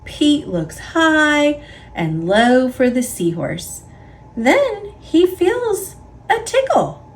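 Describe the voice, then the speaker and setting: A woman reading a children's picture book aloud in an expressive, deliberate storytelling way for young listeners. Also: high-pitched. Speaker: storybook reader. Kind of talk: children's read-aloud narration.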